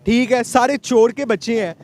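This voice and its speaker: A man's voice: very high-pitched, normal speed, darkish tone. A man with a Pakistani accent giving blunt, sarcastic interview answers, mixing in English phrases. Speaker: interviewee, university English lecturer. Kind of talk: opinionated street interview answers.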